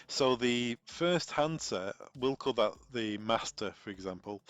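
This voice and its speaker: A man's voice: mid-range voice, no room sound. A man presenting an unscripted technical tutorial, informally talking through each step aloud as he goes. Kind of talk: unscripted step-by-step software walkthrough.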